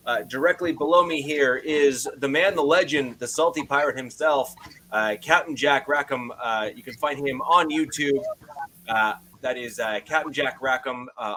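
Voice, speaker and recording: A man with a naturally loud voice hosts a casual sports podcast over a video call, rambling a little and leaning on "uh" between phrases. His pitch sits in an ordinary middle range. A faint hiss lies under the audio. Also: poor audio. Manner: loose, filler-heavy, frequent "uh". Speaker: podcast host.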